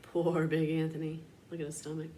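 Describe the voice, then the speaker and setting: A woman reading a children's storybook aloud in an expressive, dramatic teacher's manner. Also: low voice, brisk, slight background noise.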